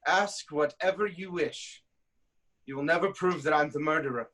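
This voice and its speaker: A man acting suspicious ancient king, high-pitched male voice, wrathful, accusatory, declamatory, Greek tragedy. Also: normal speed, sharp tone. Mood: suspicious, interrogating. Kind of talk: dramatic Greek tragedy reading.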